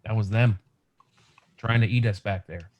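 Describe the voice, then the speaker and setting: A man's voice: on the low side, fairly even intonation, normal speed, darkish tone, highs cut off, close to an everyday voice. A man acting a young, practical, reassuring scavenger survivor in an urgent, conversational comic book table read over a video call. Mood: grave, sympathetic.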